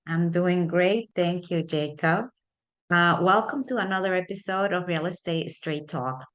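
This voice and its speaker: Chatty woman host with a low voice. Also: a little slow, a bit bright. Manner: friendly, chatty, hesitant fillers. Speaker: podcast host, real estate broker. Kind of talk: conversational interview hosting.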